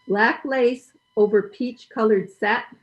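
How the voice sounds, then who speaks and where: A woman's voice: on the high side, steady tone, slow, soft background music. A woman playing a plain-spoken, gossipy housekeeper in an old-time radio comedy, folksy and emphatic, on dull call audio. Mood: knowing.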